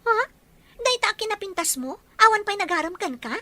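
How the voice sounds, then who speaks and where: Woman acting as young working girl in a love drama, high bright voice, guarded but teasing. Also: expressive, moderate pace, no room sound, heavily acted. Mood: playful. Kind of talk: Ilocano romance audio drama acting.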